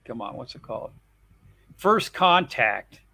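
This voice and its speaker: A man's voice: medium pitch, big pitch swings, a little slow, creaky, pushed and bright, husky, no echo. A man chatting casually on a live stream panel, rambling loosely and cursing offhandedly. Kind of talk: casual chat.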